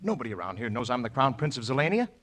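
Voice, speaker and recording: Bright-voiced gallant crown prince. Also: male voice, mid-range voice, very animated, racing. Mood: surprised. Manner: courtly, theatrical. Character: gallant, earnest young crown prince in disguise. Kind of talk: 1940s radio operetta acting. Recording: faintly noisy 1940s radio broadcast.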